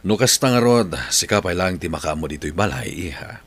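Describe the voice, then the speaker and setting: A man's voice: deep voice, expressive, a little fast, fairly bright tone, slightly rough, full character voice. A man playing a kindly, easygoing father in a Filipino radio drama. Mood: mild, fatherly.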